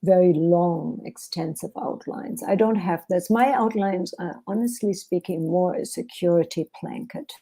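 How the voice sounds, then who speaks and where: Author guest: German-accented woman, unhurried, gravelly, low-key, thoughtful. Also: warm tone. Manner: thoughtful, hesitant with fillers and restarts. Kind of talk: podcast interview.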